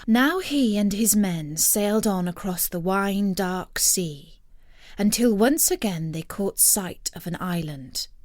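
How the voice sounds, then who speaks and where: A woman storyteller reads scripted narration with lively, varied intonation. She takes her time over each phrase, and the sound is dry, with no room echo. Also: medium pitch. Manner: expressive, measured storytelling.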